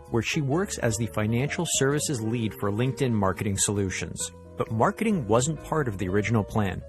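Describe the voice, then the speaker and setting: A man hosting an interview podcast, delivering introductions and questions to a guest. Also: mid-range voice.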